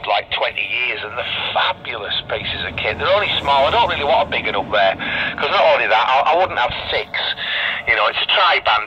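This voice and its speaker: A man's voice: medium pitch, husky, lo-fi sound. A middle-aged man with a Northern English accent chats in a rambling, matey way as an amateur operator over VHF radio. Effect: VHF FM radio transmission. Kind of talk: casual chat over ham radio. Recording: band-limited two-way radio, faint background noise.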